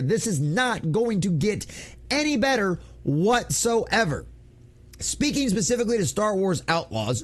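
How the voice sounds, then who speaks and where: High-pitched man, emphatic gaming commentator. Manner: emphatic, theatrical, mocking. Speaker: gaming news commentator and channel host. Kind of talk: opinionated news commentary to an online audience.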